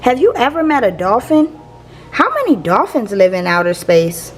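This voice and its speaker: Animated woman reading a children's book aloud. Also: fairly high pitch, normal speed, music in the background. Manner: animated, playful, addressing young listeners. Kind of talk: expressive children's read-aloud.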